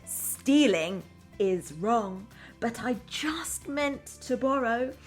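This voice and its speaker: A woman's voice: fairly high pitch, animated, very slow, faint music behind. A woman voicing a sheepish male book thief, pleading and sniffly, in a children's storybook.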